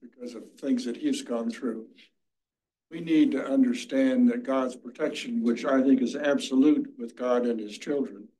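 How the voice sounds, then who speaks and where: An older man in a church class making a measured, reflective comment from his seat. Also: mid-range voice, mellow, rough recording. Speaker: class member. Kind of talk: comment from the audience.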